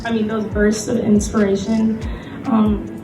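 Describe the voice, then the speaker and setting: Female convention panelist, mid-pitched, informal and filler-heavy delivery, recorded at a distance in a reverberant room. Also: flat delivery, measured pace. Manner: hesitant, conversational, frequent "like" as filler. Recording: echoey room from far off, music behind.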